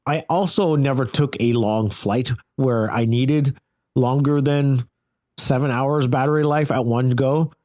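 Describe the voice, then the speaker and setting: A man's voice: medium pitch, steady tone, a little slow, darkish tone. A man giving a casual, conversational first-person gear review, repeating phrases for emphasis. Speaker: product reviewer.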